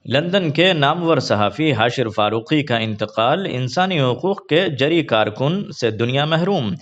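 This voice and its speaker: A man reads scripted news in a formal, measured announcer manner. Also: mid-range voice, lively intonation. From India. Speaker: news presenter. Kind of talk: scripted news reading in formal Urdu register. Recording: dull lo-fi online video audio.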